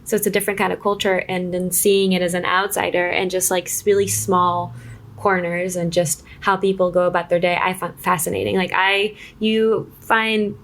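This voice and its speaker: A woman from Israel talking casually as an interview guest, telling stories in a chatty, halting way that often interrupts itself. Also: fairly low pitch, little pitch movement, a bit bright. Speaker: guest, screenwriter. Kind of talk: casual conversational storytelling.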